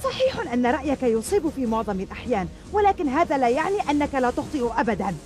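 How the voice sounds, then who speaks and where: An actress playing a fiery, distrustful young woman fighter with a sharp, challenging manner in a dubbed cartoon. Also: high-pitched, sing-song, racing. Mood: suspicious. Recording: loud music behind the voice.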